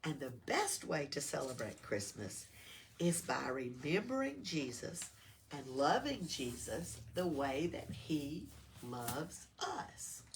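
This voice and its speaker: A soft, patient Christmas angel from a children's storybook, played by a woman reading aloud. She speaks slowly and reassuringly, slightly away from the mic with faint noise. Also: low-pitched, big pitch swings, far from the mic, full character voice. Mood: warm.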